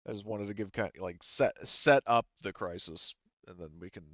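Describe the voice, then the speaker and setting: A man acting as game master, casually explaining things to players in a halting, informal way. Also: fairly low pitch, a bit bright, no echo, dull audio. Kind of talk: casual tabletop game narration and chat.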